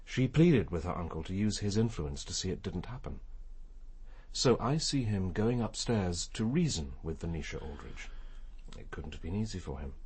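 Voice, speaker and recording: Radio crime drama: quiet, perceptive detective, lowish breathy voice, courteous and probing. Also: male voice, animated, normal speed, heavily acted. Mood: grave, restrained. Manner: restrained, probing, formal.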